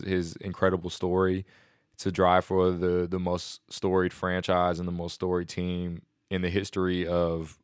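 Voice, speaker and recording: A man hosting a casual solo podcast, excited and rambling, a little nasal and sniffly from a cold. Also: low voice, clean tone, no room sound, clean studio sound. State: congested from a stomach bug. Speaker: podcast host.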